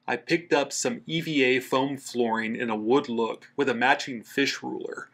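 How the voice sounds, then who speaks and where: A man's voice: medium pitch, steady tone, moderate pace, fairly bright tone. A man narrating a scripted how-to voice-over as the host of a DIY outdoors channel.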